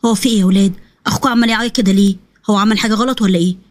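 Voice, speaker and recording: A woman's voice: mid-range voice, steady tone, racing, no room sound, close to an everyday voice. A woman voicing an older grandmother with an Egyptian Arabic accent, fretful and exasperated. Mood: worried.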